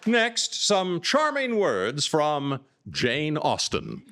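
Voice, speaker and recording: A man's voice: fairly high pitch, wildly swooping pitch, very slow, fairly bright tone, a little hiss or hum. A man reading a scripted live radio sponsor announcement as the announcer.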